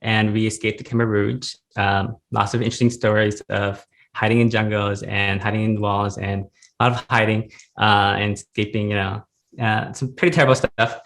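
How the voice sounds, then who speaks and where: A man from the United States, a guest on a podcast, speaking reflectively with frequent pauses and fillers. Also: fairly low pitch, little pitch movement, fairly bright tone. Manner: thoughtful, many "um"s and "uh"s. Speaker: podcast guest, real estate investor. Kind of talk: reflective interview talk.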